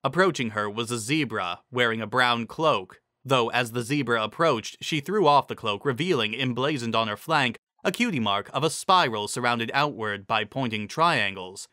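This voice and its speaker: A man's voice: medium pitch, expressive, moderate pace, few pauses, pushed and bright, dry recording, studio quality. A man giving a dramatic reading of a scripted fantasy story as its narrator.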